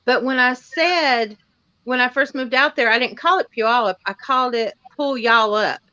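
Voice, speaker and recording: A woman with a Tennessee accent talks in a folksy, self-deprecating, chatty way. Her voice sits a little high and rings out brightly. The sound is close and dry, as on a casual livestream. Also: normal speed, dry recording, muffled recording. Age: 40s. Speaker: livestream co-host. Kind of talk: casual chat.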